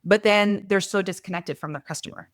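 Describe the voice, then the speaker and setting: A woman consultant answering interview questions in a brisk, conversational way, often adding "like" and "right?". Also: mid-range voice, no room sound, studio quality. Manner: energetic, uses "like" and "right?" often. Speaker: guest mentor, e-commerce consultant.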